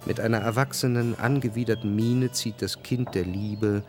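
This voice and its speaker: A man's voice: on the low side, fairly even intonation, normal speed, darkish tone, soft background music, close to an everyday voice. A man giving scripted narration as the narrator of a historical radio drama.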